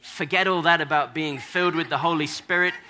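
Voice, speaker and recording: A man preaches to a church congregation in a lively, joking way, slipping into small acted voices for the people he describes. His voice is very bright and sits slightly high for a man. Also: a little fast. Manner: animated, playful, acts out church members. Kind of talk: sermon with audience props. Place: church hall.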